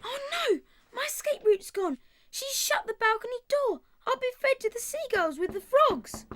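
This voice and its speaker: A woman's voice: very high-pitched, lively intonation, normal speed, fairly bright tone, slight background noise. A woman voicing an eager, plucky young boy who has been turned into a mouse, in an audio drama. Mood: alarmed.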